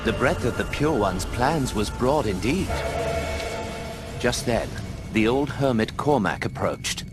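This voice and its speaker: A man narrating a video game's story in a measured storytelling manner, over loud game music. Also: medium pitch, lively intonation.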